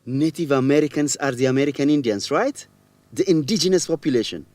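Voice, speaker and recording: A man's voice: on the high side, a little fast, a little mellow, natural voice. An actor playing a blunt, opinionated, teasing man in an educational radio drama. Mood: curious. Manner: blunt, challenging.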